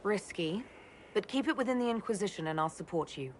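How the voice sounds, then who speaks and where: An actress playing a composed, commanding female leader in a video game, measured and authoritative. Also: mid-range voice, expressive, a little mellow, a little hiss or hum, natural voice. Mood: supportive.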